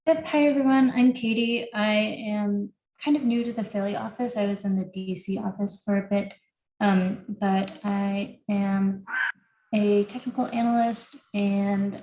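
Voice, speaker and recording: A woman's voice: medium pitch, roomy sound, muffled recording. A woman in her twenties speaking as a panelist on a video call, casual and a little hesitant. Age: 20s.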